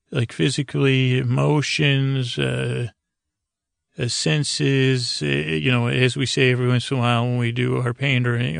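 A man with a creaky, gravelly voice talks in a gentle bedtime-podcast monologue. His delivery is flat and halting, full of stammers and half-finished thoughts that wander off. The sound is close and dry. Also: medium pitch, no echo. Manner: meandering, halting, stammering, trailing off mid-thought. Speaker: podcast host. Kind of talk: rambling, soothing bedtime sleep-podcast monologue.

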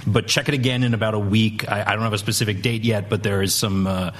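A man speaking casually as a guest panelist, halting and thoughtful in delivery. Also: fairly low pitch, fairly even intonation.

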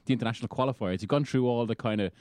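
An Irish man talks football at a fast clip, restarting sentences as his thoughts race ahead. He laughs easily and sounds animated. The recording is dry and close, with no room sound. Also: medium pitch, clean tone, no room sound. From Ireland. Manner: quick, animated, laughs often, many restarts. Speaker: football writer, podcast panellist. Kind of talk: casual chat.

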